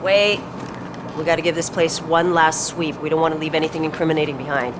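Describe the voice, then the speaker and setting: Actress as a businesslike woman amid loud noise. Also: low-pitched, steady tone, fairly quick, close to an everyday voice. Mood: cautious. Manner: methodical, matter-of-fact. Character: businesslike, careful female heist crew member. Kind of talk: video game cutscene acting.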